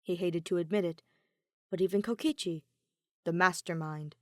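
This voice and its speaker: A woman narrating fan fiction like an audiobook, measured and emotive, with dramatic pauses. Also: lowish voice, fairly even intonation, no room sound, clean studio sound. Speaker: podfic reader.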